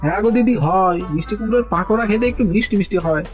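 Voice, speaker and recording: A man's voice: high-pitched, rapid-fire, lightly acted. A man voicing an earnest, hard-working village snack seller in a cartoon, with emphatic, exclaiming delivery. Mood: hawking. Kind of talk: dramatized cartoon dialogue. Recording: music bed behind the voice.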